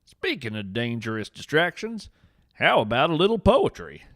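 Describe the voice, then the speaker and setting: A man playing a whimsical, earnest veteran naturalist adventurer, narrating a scripted fiction podcast with theatrical, deliberate phrasing. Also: mid-range voice, sing-song, fairly bright tone, no room sound, studio quality. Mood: playful, inviting.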